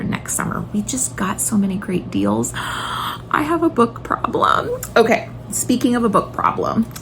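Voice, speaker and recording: A woman's voice: a little high, background noise. A woman in her thirties talking casually and enthusiastically, like a homeschooling mom recommending favorites on her vlog. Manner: enthusiastic, gushing. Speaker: homeschooling video blogger and mother.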